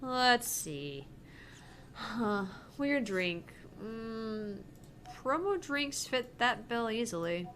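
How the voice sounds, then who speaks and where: A woman's voice: on the high side, very animated, extremely slow, fairly bright tone, slight background noise. A young woman hosting a visual novel let's play, reading dialogue aloud and chatting in a casual, joking way. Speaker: gaming channel host.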